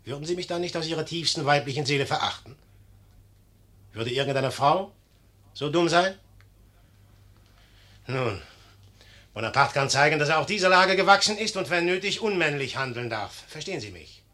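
Young general, vain, blustering, condescending, intensely sharp forceful voice, 1950s radio play. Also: male voice, a little high, animated, normal speed, long pauses. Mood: scornful, bargaining. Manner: theatrical, swinging from condescending to blustering.

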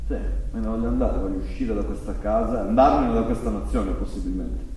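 A man acting in a staged play reading as an agitated, bitter young son of an exiled Nazi, speaking in a halting, sardonic way. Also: lowish voice, steady tone, a little mellow, lightly acted. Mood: sullen. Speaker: stage actor. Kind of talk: live staged reading of a play, theatrical monologue and dialogue. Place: theatre stage, recorded from a distance. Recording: very echoey stage, distant mic, faint noise.

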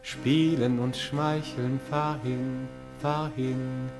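A woman's voice: rumbling low, steady tone, extremely slow, over music, full character voice. A woman playing an eager, lovestruck, melancholy young man in a radio drama, earnest and lyrical. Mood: mournful. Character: eager, lovestruck, melancholy young male poetry-loving singer. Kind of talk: radio drama acting with sung verse.

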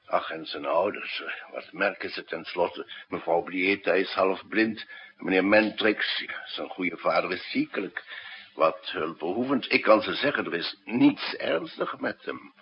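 Genial, nosy doctor: slightly low, raspy voice, wry and chatty, muffled vintage radio sound. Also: male voice, measured pace, full character voice. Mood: resigned, confiding. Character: genial, inquisitive male family doctor on house calls. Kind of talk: 1960s radio drama acting. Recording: muffled, dull 1960s radio recording.

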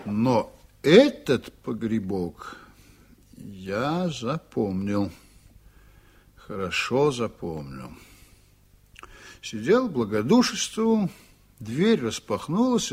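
An actor playing a proud, stubborn, reflective ship's captain in a Soviet radio drama, telling things unhurriedly with dramatic pauses. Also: male voice, medium pitch, big pitch swings, a little mellow, breathy edge, heavily acted. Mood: reflective reminiscing.

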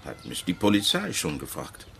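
A man's voice: deep voice, wildly swooping pitch, normal speed, vocal fry, fairly bright tone, slight background noise, full character voice. An actor playing a wary, evasive working-class man with folksy, ungrammatical speech in a German radio drama. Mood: wary, stubborn.

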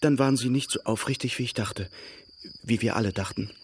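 A man's voice: mid-range voice, a little fast, slight background noise, natural voice. An actor playing a charming, light-hearted artist, courteous and ardent as he courts his cousin in a radio drama. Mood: earnest, pleading.

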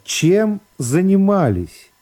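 Man playing a mellow, courteous presiding judge. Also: sits high, very animated, slow pace, voice pushed up, heavily acted. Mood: courteous, formal. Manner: soft, affable, formal questioning. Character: gentle, courteous male presiding judge questioning defendants.

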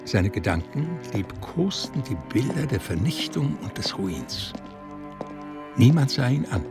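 A man's voice: mid-range voice, big pitch swings, a little slow, over music. A man narrating a literary radio play from a script.

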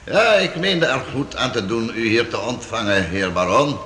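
A man's voice: medium pitch, sing-song, normal speed, fairly bright tone. A man playing a jovial, fussy, wealthy Dutch seigneur in a radio play, effusive and given to repeating his words. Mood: effusive welcome. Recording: echoey reverberant hall with background hiss.

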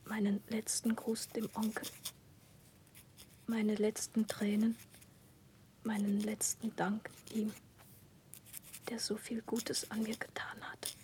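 Actress as hushed, remorseful young woman. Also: mid-range voice, monotone, slow pace, long pauses, lightly acted. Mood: sorrowful, remorseful. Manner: hushed, breathy, tearful solemnity. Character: remorseful, tender young woman voicing farewell letter. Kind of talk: 1960s German radio play acting. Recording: muffled, clearly noisy old radio recording.

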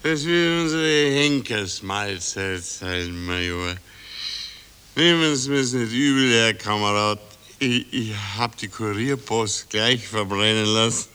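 Slow, bright-voiced drunken captain. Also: male voice, on the low side, lively intonation, clear, resonant tone, exaggerated voice. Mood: tipsy fatalism. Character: drunken, fatalistic army captain, comradely. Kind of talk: 1960s radio drama acting.

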